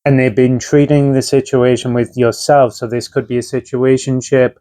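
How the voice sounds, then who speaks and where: A man's voice: medium pitch, flat delivery, normal speed, dark tone, dry recording, clean studio sound. A man giving a casual tarot reading, talking conversationally with frequent fillers while getting over a chest infection. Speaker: tarot reader.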